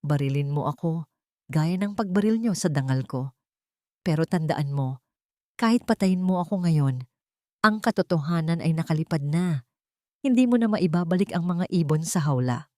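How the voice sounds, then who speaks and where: A woman's voice: sits low, lively intonation, moderate pace, long pauses, a little mellow, no echo, clean studio sound. A woman voicing a bitter, defiant pilot. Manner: bitter, unafraid.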